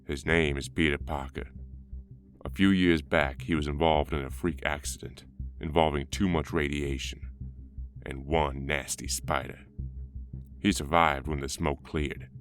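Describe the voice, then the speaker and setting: A man's voice: a bass voice, fairly even intonation, a little slow. A man narrating a superhero comic dub in a grave, dramatic scripted style.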